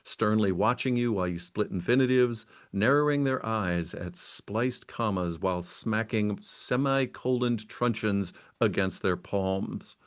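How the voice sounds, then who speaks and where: A man's voice: on the low side, measured pace, hardly any pauses. A middle-aged man hosting an interview, conversational and prone to stumbling into his questions. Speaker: podcast host. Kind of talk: casual interview.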